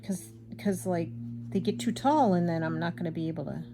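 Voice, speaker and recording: An older woman chatting casually and rambling as she embroiders at home, self-deprecating, with a loud projecting voice. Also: lowish voice, fairly quick, noisy background. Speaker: craft vlogger. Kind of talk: casual chat while embroidering.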